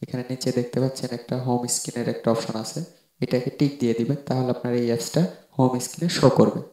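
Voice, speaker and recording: A man's voice: medium pitch, steady tone, very fast, dark tone. A man narrating an informal how-to tutorial, explaining step by step and often repeating himself. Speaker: tech tutorial host. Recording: roomy echoing voice-over.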